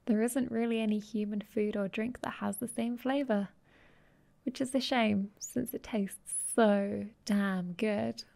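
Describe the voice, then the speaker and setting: A woman playing a dominant, affectionate vampire girlfriend in an intimate ASMR roleplay, speaking softly and tenderly, often in a breathy whisper, close to the mic. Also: mid-range voice, fairly even intonation. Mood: playful, musing. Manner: slow, tender, teasing. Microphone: close microphone.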